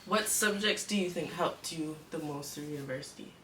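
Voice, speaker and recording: A young woman hosts the chat in a bright, crisp voice and asks her questions in an upbeat, giggly way. She sits some way from the mic in an echoey room. Faint room noise runs behind her. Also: sits low, brisk, recorded from a distance. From Cayman Islands. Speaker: YouTube host. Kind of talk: casual chat.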